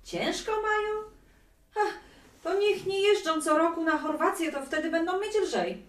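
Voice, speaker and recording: A woman's voice: very high-pitched, measured pace. An actress playing a brash, outspoken middle-aged grandmother in an audio play, blunt and nagging. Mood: scolding.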